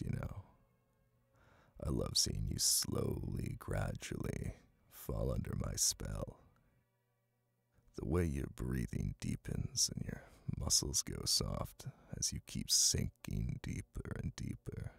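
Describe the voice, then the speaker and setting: A man playing a smug, soothing, dominant hypnotist boyfriend in an intimate ASMR roleplay, coaxing and teasing slowly in a gravelly, breathy voice. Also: rumbling low, heavily acted.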